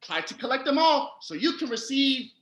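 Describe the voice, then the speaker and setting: A man playing an excitable German fairy-tale storyteller, emphatic and playfully scolding in a comedic stage show. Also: very high voice, normal speed, muffled recording, exaggerated voice. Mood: upbeat, presenting. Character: Wilhelm Grimm, excitable German storyteller brother of fairy tales. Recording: roomy, echoey home recording.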